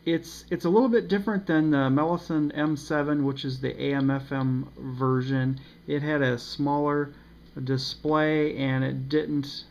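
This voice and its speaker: A man giving a casual, unscripted review of a radio, pausing often with ums and uhs. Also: mid-range voice, creaky, darkish tone. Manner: hesitant, many ums and uhs. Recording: slightly dull with faint noise.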